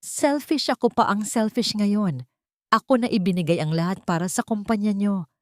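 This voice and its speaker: A woman's voice: mid-range voice, normal speed, dry recording, studio quality, voice pushed up, natural voice. A woman with a Filipino accent voicing a hurt, defiant pregnant wife in an audio story, pleading and indignant. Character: wounded, defiant young wife and expectant mother.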